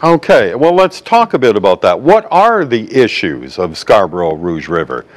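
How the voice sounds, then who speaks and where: An older man moderating a televised debate in a studio, briskly cutting in with questions. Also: on the low side. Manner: brisk, interjecting, lively intonation.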